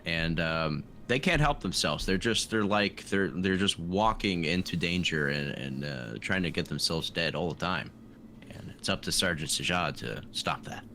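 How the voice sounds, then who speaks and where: A man's voice: low-pitched, lively intonation, moderate pace, sharp tone. A man chatting casually and wryly as a player on a tabletop game show. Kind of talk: casual chat. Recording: faint background noise.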